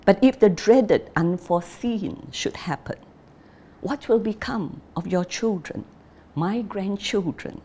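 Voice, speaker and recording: A woman reading the letter of a worried old father to his son, slow and earnest. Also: medium pitch, lively intonation, warm tone, breathy edge, voice pushed up, exaggerated voice.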